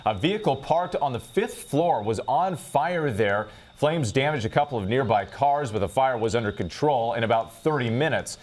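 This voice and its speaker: A man's voice: medium pitch, lively intonation, moderate pace, a little mellow. A man with an American accent reading scripted television news as an anchor.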